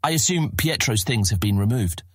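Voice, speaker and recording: An actor playing a young, skeptical new guildmaster of thieves in a fantasy audio drama, with a dry, questioning, sardonic manner. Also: male voice, on the low side, animated, moderate pace, slightly rough, dry recording. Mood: questioning, doubtful.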